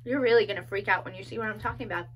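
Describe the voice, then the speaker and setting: A woman performing a dark comedic monologue as a playful, sadistic female killer taunting her captive, whispering menace between loud bursts. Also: medium pitch, racing, breathy edge, lightly acted, low-quality recording. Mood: gloating menace.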